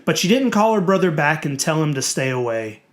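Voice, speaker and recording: A narrator reading a horror story in a theatrical, dramatic way, with emphatic, drawn-out words. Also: mid-range voice, very animated.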